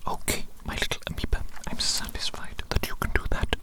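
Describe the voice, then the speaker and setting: A hushed, unvoiced whisper in the style of ASMR medical roleplay. The speaker plays an eccentric, patronising doctor who gives deliberate instructions and softly repeats words of approval. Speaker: ASMR roleplayer acting as a doctor giving a hearing test.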